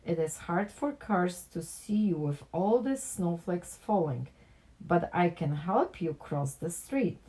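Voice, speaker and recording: A woman's voice: on the low side, animated, slow, warm tone. A woman voicing a proud, reassuring policewoman in a storybook, confident and declaiming.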